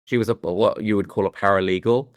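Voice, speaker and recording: Deep-voiced middle-aged British man. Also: steady tone, fast, no room sound. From London, England. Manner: thoughtful, with frequent restarts and "you know"s. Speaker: guest. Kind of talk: candid reflective conversation.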